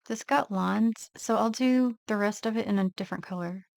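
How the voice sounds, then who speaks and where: A woman vlogger casually narrating her nail art step by step, thinking aloud in a relaxed, unhurried way. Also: medium pitch, vocal fry, no echo, studio quality.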